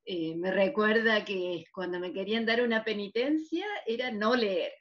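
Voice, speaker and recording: A woman with an Argentine accent speaking conversationally and cheerfully as an interview guest, on dull, low-fidelity call audio. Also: normal speed. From Córdoba, Argentina. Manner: cheerful, chuckles between phrases. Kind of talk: conversational personal reminiscence.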